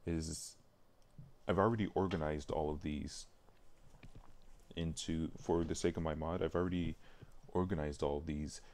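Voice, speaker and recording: A man with an extremely low, creaky voice gives casual live-stream commentary. He speaks in halting bits, muttering as he works things out. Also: fairly even intonation, darkish tone. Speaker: live streamer working on a game mod. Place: indoors at a computer, keyboard typing.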